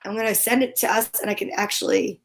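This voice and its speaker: A woman's voice: medium pitch, steady tone, fast talker, gravelly. A woman co-host chatting casually and informally on a show. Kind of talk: casual chat.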